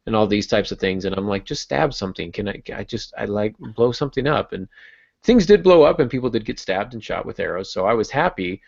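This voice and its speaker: A man with a low, creaky voice talks as a podcast host. He explains in an informal, conversational way with wry asides. The recording sounds a little muffled. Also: expressive, fairly quick. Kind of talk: conversational writing discussion. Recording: muffled lo-fi audio.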